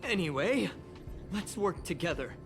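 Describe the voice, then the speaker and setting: A woman voicing an excitable, anxious young space station researcher in a game, expressive and full of sighs and exclamations. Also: on the low side, a little slow, over music. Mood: curious, exasperated. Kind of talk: game dialogue acting.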